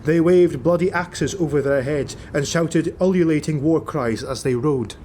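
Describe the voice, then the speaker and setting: A man's voice: fairly high pitch, moderate pace, darkish tone, a little hiss or hum. A man reading a fantasy audiobook as a scripted, dramatic narration. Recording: music bed behind the voice. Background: music.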